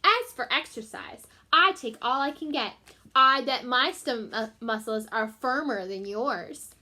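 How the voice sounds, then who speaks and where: Woman as a bright, plucky little mouse boy. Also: high voice, expressive, unhurried, exaggerated voice. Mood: boastful. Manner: eager, cocky, earnest. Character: tiny, plucky, boastful young mouse boy.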